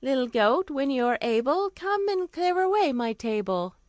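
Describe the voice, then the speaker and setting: A woman storyteller plays a clever, polite little girl from a fairy tale. The voice is raised and lilting, with coaxing, sing-song phrasing and lively swings in pitch. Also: unhurried, no room sound, clean studio sound.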